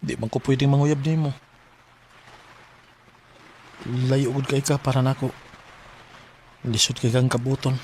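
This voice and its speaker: A man's voice: medium pitch, flat delivery, moderate pace, darkish tone, slight background noise, close to an everyday voice. A man with a Cebuano accent acting a sincere, lovestruck young working man who also narrates his story in a radio drama. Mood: shy, tender. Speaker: radio drama actor and first-person narrator.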